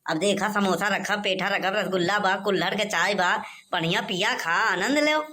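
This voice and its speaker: A woman's voice: mid-range voice, normal speed, pushed and bright, slight background noise, close to an everyday voice. A woman voicing a preachy, good-natured village elder man in a comic cartoon, with an Awadhi accent. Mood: preaching.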